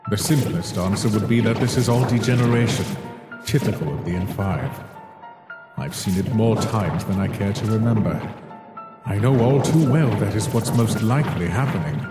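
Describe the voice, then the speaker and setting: A man performs a cold, guilt-ridden monster scientist for a dramatic comic dub. He speaks slowly and theatrically, with wide swings in pitch, in a long reverb over music. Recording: echoey reverberant voice with background music.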